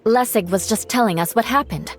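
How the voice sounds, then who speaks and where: A woman's voice: fairly high pitch, fairly quick, soft background music, natural voice. A woman voicing a proud, aloof young knight in an anime game, with a haughty manner. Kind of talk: anime game voice acting, scripted dialogue.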